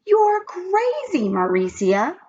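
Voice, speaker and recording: Woman voicing an excitable, very high-pitched schoolboy. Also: takes it slowly, dull audio, full character voice. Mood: excited. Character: excitable young schoolboy, emphatic and delighted. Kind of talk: children's storybook read-aloud character.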